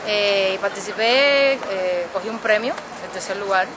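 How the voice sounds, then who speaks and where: A woman's voice: medium pitch, normal speed. A woman in her thirties from Cuba with a Cuban accent, chatting relaxedly as an interview guest, often adding tag questions. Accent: Cuban Spanish. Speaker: percussionist guest. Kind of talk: casual interview answers. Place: outdoors at night by a city street, beside drums. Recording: loud street noise behind.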